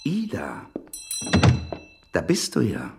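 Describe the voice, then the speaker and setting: Smooth pushy shopkeeper, deep voice, coaxing and too familiar, radio play, faint music under it. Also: male voice, huge pitch swings, takes it slowly, exaggerated voice. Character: smooth-talking, insistent male shopkeeper, predatory family friend. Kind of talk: German radio play acting.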